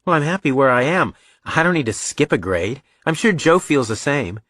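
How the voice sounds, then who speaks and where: Man voicing a cocky schoolboy, pitched well up. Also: sing-song, normal speed, a bit bright, no echo. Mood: protesting. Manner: blunt, protesting, sardonic. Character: cocky, argumentative schoolboy, stubborn and wry. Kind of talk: audiobook character dialogue.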